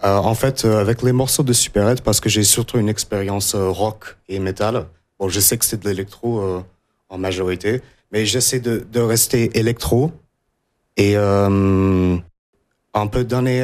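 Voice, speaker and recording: Young man from the US, low voice, guest drummer, halting and unsure delivery. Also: steady tone, a little mellow. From United States. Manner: hesitant, many fillers and restarts. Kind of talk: radio interview.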